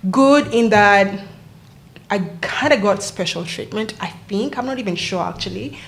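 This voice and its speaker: A woman, telling her life story as a podcast guest in a reflective way, with frequent pauses and fillers. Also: mid-range voice, expressive, vocal fry. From Kenya. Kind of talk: casual interview storytelling.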